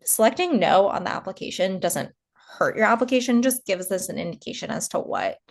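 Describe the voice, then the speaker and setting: A woman co-presenting on an informational call, explaining and clarifying informally in halting, conversational phrases. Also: medium pitch, lively intonation, gravelly. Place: online group call.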